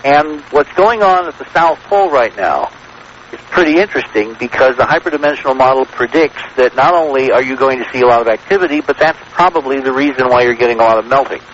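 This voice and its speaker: A man's voice: medium pitch, fast talker, fairly bright tone. An American man as a radio guest, explaining his theories in an assertive, lecturing way and checking that his points land. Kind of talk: radio interview, explanatory talk. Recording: noisy, dull vintage radio broadcast.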